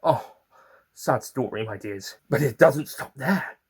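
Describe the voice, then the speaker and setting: A man's voice: mid-range voice, expressive, slow pace, husky, heavily acted. Man playing an eccentric, theatrical storytelling time-traveller. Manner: theatrical, fond asides to listeners. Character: eccentric, chatty, whimsical male time-traveller narrating his own adventures. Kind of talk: first-person audio story narration.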